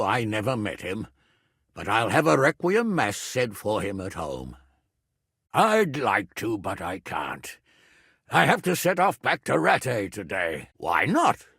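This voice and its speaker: An actor voicing a gruff, hot-tempered but loyal middle-aged medieval nobleman in a video game, with a rough, gravelly voice and a blunt, lordly, emphatic manner. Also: mid-range voice, sharp tone, dry recording, clean studio sound, exaggerated voice. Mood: measured, gruff. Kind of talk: dramatic video game voice acting.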